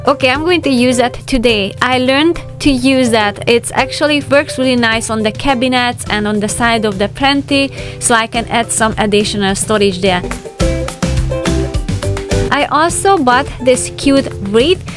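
Soft-spoken woman narrating over music. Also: on the high side, moderate pace. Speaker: homemaking vlogger. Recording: voice-over with loud background music.

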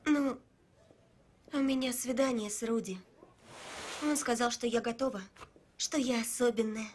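A woman's voice: on the high side, a little slow, a little hiss or hum, natural voice. A woman voicing an earnest, stubborn young girl who dreams of ballet in a dubbed cartoon. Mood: pleading, defensive. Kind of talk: dubbed animated film dialogue.

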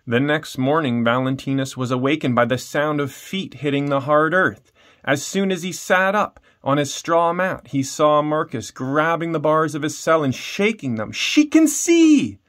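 A man's voice: fairly high pitch, moderate pace, a bit bright. A man, reading a children's story aloud as narrator. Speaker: storybook reader. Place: small room indoors at home.

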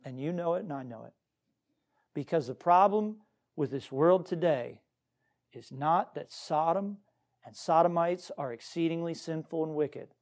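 Higher-voiced man preaching an earnest sermon. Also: a little slow, darkish tone. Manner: earnest, exhorting, poses questions to listeners. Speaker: pastor preaching to a congregation. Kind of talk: Baptist sermon with scripture reading.

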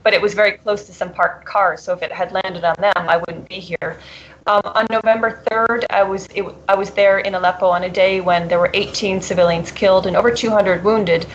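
A woman's voice: medium pitch, steady tone, fairly quick, slight background noise. A woman answering interview questions earnestly, often restarting and filling with "you know". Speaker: interview guest reporting from abroad. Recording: dull lo-fi audio.

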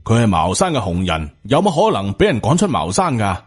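A man voicing an angry, suspicious middle-aged Taoist master in an audiobook, gruff and accusing. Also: on the low side, wildly swooping pitch, brisk, full character voice. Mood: stern, shocked.

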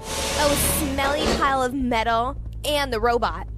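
A woman's voice: high voice, a little slow. A woman voicing a spirited, teasing young superhero helicopter in a children's cartoon. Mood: feisty. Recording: loud music bed behind.

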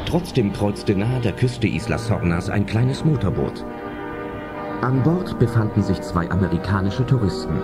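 A man's voice: lowish voice, animated, normal speed, close to an everyday voice. A man narrating a suspenseful adventure audio drama in scripted storyteller style. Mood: suspenseful storytelling. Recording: loud music under narration.

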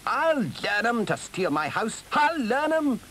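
Man voicing a pompous, excitable, high-pitched toad. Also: moderate pace, a little hiss or hum. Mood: excited, vengeful. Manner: blustering, exclamatory, self-satisfied. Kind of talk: animal storybook character voice.

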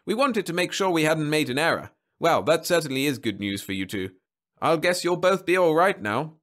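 Man playing an effusive, high-pitched bureau official. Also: lively intonation, normal speed, fairly bright tone. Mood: genial, smug. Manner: effusive, emphatic. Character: excitable marriage bureau official, smug, easily outraged.

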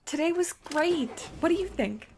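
A woman's voice: extremely high pitch, animated, unhurried, a little mellow, a little hiss or hum, exaggerated voice. A woman acting a playful, teasing teenage schoolgirl in an audio drama.

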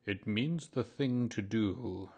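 Man as a slow, pompous storybook owl. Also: on the low side, darkish tone, breathy edge. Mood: pompous, instructive. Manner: pompous, ponderous, drawn-out delivery. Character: pompous, long-winded, self-important male owl. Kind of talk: children's storybook character voice.

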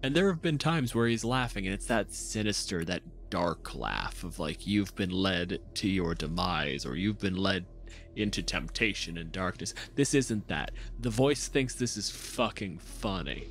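A man narrating a tabletop game as game master, telling it dramatically with halting pauses. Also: deep voice, expressive, a bit bright. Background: music.

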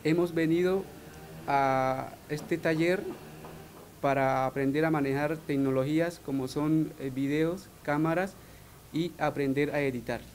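A man with a slightly high voice speaks softly and without hurry. Faint background noise runs behind him. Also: steady tone, a little slow. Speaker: workshop participant.

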